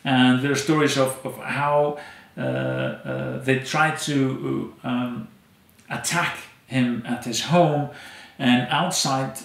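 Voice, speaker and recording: A man in his thirties from Iceland, a native Icelandic speaker, telling stories as an interview guest with many pauses and fillers. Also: medium pitch, fairly even intonation, creaky, echoey room. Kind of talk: conversational storytelling. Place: dim indoor room, seated in armchair.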